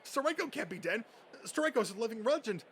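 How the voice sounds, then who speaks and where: A man's voice: extremely high pitch, lively intonation, fast talker, a bit bright, slight background noise, exaggerated voice. A man voicing a foul-mouthed, bitter, whiny comic book nerd, agitated and melodramatic, in an amateur dub. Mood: panicked.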